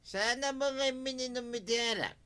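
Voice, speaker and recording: An actor with an Ilocano Filipino accent playing a silly, defensive, tipsy husband in a radio comedy drama. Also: male voice, very high-pitched, normal speed, bright, forceful tone, clear, resonant tone.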